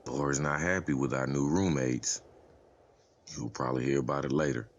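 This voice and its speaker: A man's voice: extremely low pitch, steady tone, moderate pace, muffled recording. A man playing an easygoing, jokey survivor chatting casually in a dramatized comic. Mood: casual report. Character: easygoing, joking male survivor reporting on prison chores. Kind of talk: dramatized comic-book voice acting.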